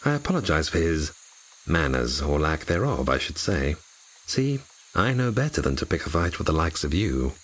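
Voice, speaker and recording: A man playing a brooding, commanding fallen angel in a fantasy audio drama, speaking with measured, wry politeness. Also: big pitch swings, fairly bright tone, exaggerated voice, low-quality recording. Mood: wry, courteous. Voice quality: deep and husky.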